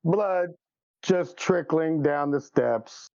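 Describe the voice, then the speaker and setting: A man with a creaky, gravelly voice of middling pitch banters casually during a tabletop game. The sound is slightly dull and lo-fi. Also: drawn-out words, a little mellow.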